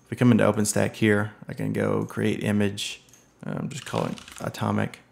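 A man with a low voice that has a heavy gravelly fry talks through a software setup at his computer. He is relaxed and unhurried, filling gaps with ums and uhs as he clicks along. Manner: relaxed, many ums and uhs, thinks aloud. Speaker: software tutorial presenter. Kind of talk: casual screen-recorded tech walkthrough.

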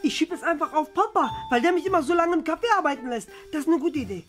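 An evasive, high-pitched schoolboy. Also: male voice, lively intonation, fast, a bit bright, soft background music. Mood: scheming. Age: young adult. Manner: hesitant, defensive. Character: evasive, whiny teenage schoolboy son. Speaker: actor in a family web series. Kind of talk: improvised family sketch acting. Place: small bright rooms indoors.

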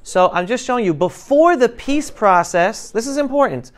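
Male guest speaker, around 50, smooth clear voice, informal classroom talk, emphatic and interactive. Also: very high voice, expressive, moderate pace. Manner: emphatic, questioning the audience. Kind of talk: informal talk to high school students. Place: classroom, indoors. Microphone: lapel mic.

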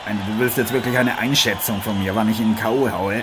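A man's voice: lowish voice, steady tone, a little fast, crowd noise, close to an everyday voice. A man playing a brash, chummy boxing figure in an improvised mock sports radio play, leaning on repeated catchphrases. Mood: brash, curt. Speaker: boxing commentator. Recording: clearly noisy amateur recording.

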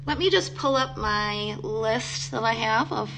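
A woman's voice: on the high side, measured pace, gravelly, background noise. A woman hosting a live stream interview, friendly and addressing her chat.

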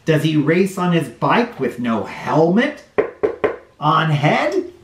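Children's read-aloud host: male, middle-aged, expressive and slow-paced, drawing out phrases, recorded in a roomy space. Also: on the high side, background noise. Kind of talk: animated storybook read-aloud for kids. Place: small bright living room or playroom, indoors. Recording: roomy indoor echo.

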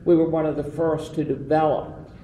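A man's voice: fairly high pitch, level tone, normal speed, dark tone. An older man with a Southern American accent, reminiscing as an interview guest and rambling with many fillers. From Southern United States. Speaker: guest, former association president. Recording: roomy, distant mic, faint noise.

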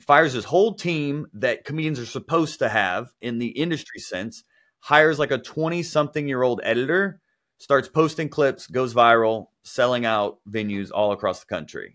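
Relaxed, talkative comedian guest. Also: male voice, mid-range voice. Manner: relaxed, talkative, says "like" often. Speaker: comedian and podcast host. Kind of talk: casual interview answers.

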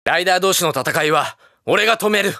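An actor playing a hot-blooded, earnest young armored hero in a video game, blunt and boyish in manner. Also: male voice, sits high, lively intonation, fairly quick, pushed and bright, dry recording, clean studio sound. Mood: determined. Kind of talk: shouted battle lines and game voice clips.

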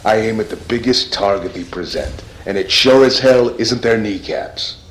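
A man playing an irritable, bickering armed robber in a comic-book dub, gruff and snappish. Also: fairly low pitch, moderate pace, a bit bright, slight background noise, lightly acted. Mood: irritated. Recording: roomy echo on the voice.

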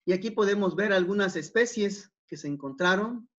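A man giving an academic talk over slides, unhurried, with many fillers and repeated words. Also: high voice, a bit bright. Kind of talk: conference presentation over slides. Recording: dull, lo-fi online talk.

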